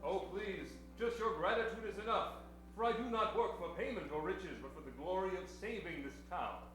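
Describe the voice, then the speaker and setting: Pompous crime-fighting hero: formal declaiming, high voice, music behind, radio-play style. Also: male voice, brisk, fairly bright tone. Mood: gallant. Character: pompous heroic masked-style crime fighter Hat Man. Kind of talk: old-time radio acting. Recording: very echoey room, music behind.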